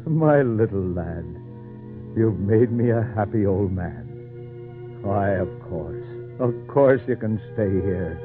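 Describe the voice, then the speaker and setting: A man playing a tender, emotional old uncle in a radio drama, speaking haltingly and repeating his words. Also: on the low side, lively intonation. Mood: tender, moved. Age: older. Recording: tinny vintage radio recording with music.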